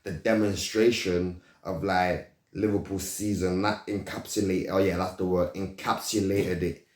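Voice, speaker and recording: A man with a low, mellow voice talks football in a loose, slangy ramble, often restarting his sentences. English is not his first language. His desk mic picks up a roomy echo from the home space he records in. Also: little pitch movement, a little slow. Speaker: football pundit, channel host. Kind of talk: casual football talk.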